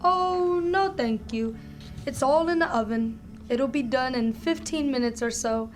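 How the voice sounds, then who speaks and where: A gushing, self-assured spinster hostess of literary bent, played by a woman in a radio comedy. Her voice sits fairly high and she chatters on in a genteel, effusive way. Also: a little slow, faint music behind. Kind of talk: radio drama acting.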